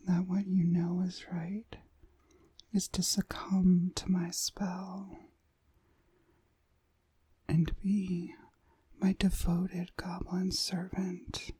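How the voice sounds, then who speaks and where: A woman playing a dominant, seductive witch mistress in a whispered ASMR hypnosis roleplay, breathy, soothing and commanding. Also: fairly low pitch, warm tone, no echo, full character voice.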